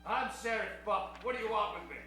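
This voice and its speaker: A man's voice: high-pitched, fairly quick, sharp tone, far from the mic. A man playing a stubborn, indignant sheriff in an improvised old-time radio western. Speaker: improv comedy player. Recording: very echoey stage, music behind.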